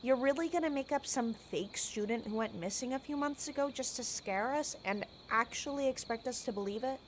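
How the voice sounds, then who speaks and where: A woman's voice: high voice, a little fast, lightly acted. A woman playing a skeptical, no-nonsense college student in an amateur radio play, matter-of-fact, with crickets behind her. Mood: dismissive. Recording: noisy recording with chirping crickets.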